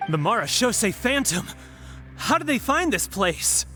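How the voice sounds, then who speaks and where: A man's voice: very high voice, big pitch swings, a little slow, bright, forceful tone, soft background music, exaggerated voice. A man voicing an alert minor guard character in an anime-style video game. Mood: alarmed.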